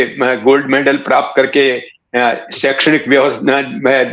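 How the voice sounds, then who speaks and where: A man, recalling his life in a measured, courteous, conversational way, often pausing mid-sentence. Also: a little high, a bit bright. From Rajasthan, India. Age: 60s. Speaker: interview guest, writer and former cricket commentator. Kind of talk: reflective conversational storytelling. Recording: echoey room, slightly dull sound.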